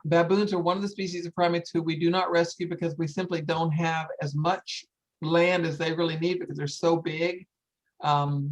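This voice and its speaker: A woman's voice: low-pitched, low-quality recording. An older woman speaking earnestly and informally to an audience, stressing her points by repeating phrases. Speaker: wildlife sanctuary founder hosting a story time.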